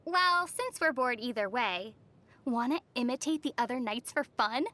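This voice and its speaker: A young wandering adventurer girl from a fantasy video game. Her voice is high and youthful. She speaks softly and with composure, with a wistful temper and a dry, teasing edge. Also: lively intonation, normal speed. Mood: calm, reflective.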